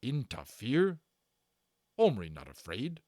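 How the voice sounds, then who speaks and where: A man's voice: mid-range voice, wildly swooping pitch, slow pace, darkish tone, no room sound, clean studio sound. A man voicing a proud, stern warrior chief for a storybook reading, in clipped broken grammar with weighty, declarative phrases. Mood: indignant. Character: proud, stern male warrior chief, bitter yet honorable. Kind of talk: dramatic storybook character reading.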